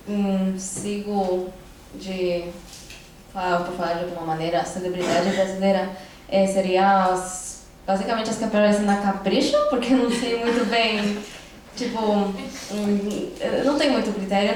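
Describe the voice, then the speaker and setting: A teenage girl from Brazil talks on a panel in a relaxed, faltering way, pausing often and leaning on fillers. Her voice comes from some way off the mic. A large hall throws a heavy echo around it. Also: mid-range voice, a little hiss or hum. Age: teens. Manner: hesitant, many fillers and pauses. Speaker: panel speaker. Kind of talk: casual panel talk. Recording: echoey hall, mic at a distance.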